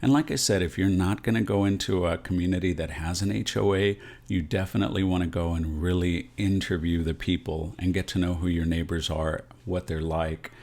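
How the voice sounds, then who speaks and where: American male realtor host, very low voice, explanatory direct-to-camera advice delivery with frequent check-ins. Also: fairly even intonation, a little fast, hardly any pauses, darkish tone. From San Antonio, Texas, USA. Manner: explanatory, often checks in with "right?".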